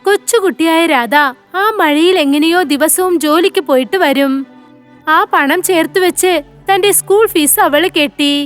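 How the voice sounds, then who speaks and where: Very high-pitched woman storyteller. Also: moderate pace, soft background music. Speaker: narrator. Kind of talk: scripted children's story narration.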